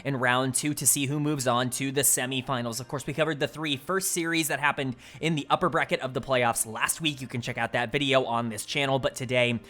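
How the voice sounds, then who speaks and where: A man with a slightly raspy voice from casting, giving fluent, opinionated solo esports analysis and talking straight to his viewers. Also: mid-range voice, fairly even intonation, brisk, hardly any pauses, pushed and bright.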